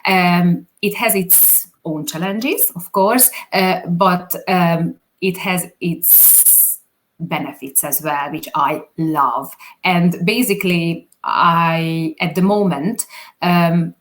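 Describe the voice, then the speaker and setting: A woman's voice: lowish voice, slow, slight background noise. A woman with a Hungarian accent, a non-native English speaker, answering interview questions hesitantly with many fillers. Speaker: guest, videographer.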